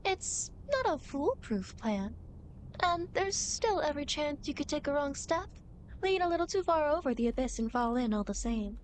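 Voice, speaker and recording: A woman acts out a playful demon girl in an ASMR roleplay. The voice is high and girlish, with smug, theatrical teasing and mock-grand phrasing. Clear background ambience runs under the speech. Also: sing-song, normal speed, a little hiss or hum. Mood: smug, explanatory. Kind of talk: ASMR roleplay, acted monologue to the listener.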